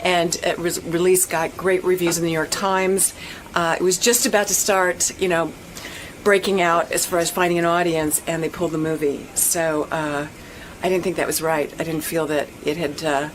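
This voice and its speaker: A woman's voice: fairly low pitch, little pitch movement, normal speed, a little hiss or hum, poor audio. A woman who is a guest actress, chatting casually with lots of repeated words and laughs. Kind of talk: casual chat.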